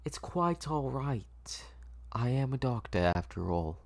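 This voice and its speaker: A man playing a manipulative mad doctor with a coaxing, drawn-out, falsely soothing manner in an audio story. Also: mid-range voice, very animated, vocal fry, a little mellow, voice pushed up, heavily acted.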